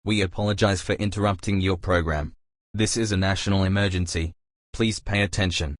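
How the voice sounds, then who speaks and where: A man playing a solemn emergency alert announcer, reading scripted warnings in a formal, measured way. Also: low voice, steady tone, very noisy, natural voice. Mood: grave, urgent.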